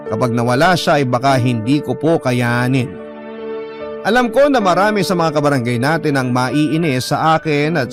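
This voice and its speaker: Filipino man narrating over clear music. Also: mid-range voice. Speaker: narrator reading a listener's letter. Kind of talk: dramatic first-person story narration.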